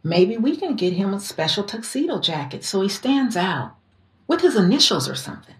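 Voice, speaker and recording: An actress playing a seductive, scheming rich wife in a theatrical, coaxing audio drama style. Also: female voice, medium pitch, very animated, moderate pace, natural voice. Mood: coaxing, sweet. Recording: roomy echo, faint music behind.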